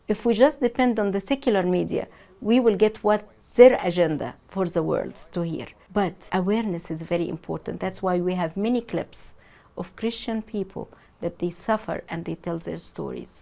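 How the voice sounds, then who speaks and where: Earnest Egyptian-born woman, mellow voice. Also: lowish voice, moderate pace, creaky. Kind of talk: interview.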